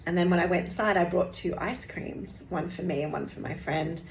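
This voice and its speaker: Chatty woman, gravelly and echoey. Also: on the low side, fairly quick. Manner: rambling, chatty, many fillers and restarts. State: losing her voice. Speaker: meditation teacher and channel host. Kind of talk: casual vlog update. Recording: echoey room with faint background noise.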